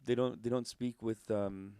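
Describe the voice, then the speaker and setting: A man from Canada co-hosting a podcast, telling stories in a joking, animated way. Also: medium pitch, clear, resonant tone, no echo, studio quality. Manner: animated, joking, fast storytelling. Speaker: podcast co-host and comedian. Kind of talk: casual podcast chat.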